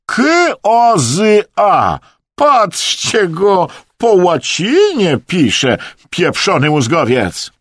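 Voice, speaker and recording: A man voicing a hysterical, drunken and mocking Russian hunter in an audiobook, with theatrical wailing and drawn-out exclamations. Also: lowish voice, lively intonation, dry recording, studio quality, exaggerated voice. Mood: mocking, exasperated.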